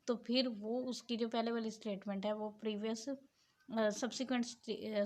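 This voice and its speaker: Woman explaining law. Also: mid-range voice. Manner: reads the English statute slowly and deliberately, then explains it in Urdu. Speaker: law lecturer. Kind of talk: explaining a legal statute.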